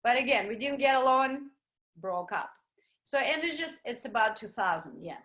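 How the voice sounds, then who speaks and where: A middle-aged woman, speaking in a slightly high voice. She tells her story as an interview guest in an animated way. She acts out what others said to her. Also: normal speed. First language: Russian. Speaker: guest, ballroom dancer. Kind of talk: casual interview chat.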